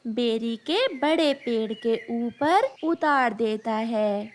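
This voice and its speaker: A woman's voice: on the high side, expressive, slow. A woman narrating a scripted children's story as its storyteller.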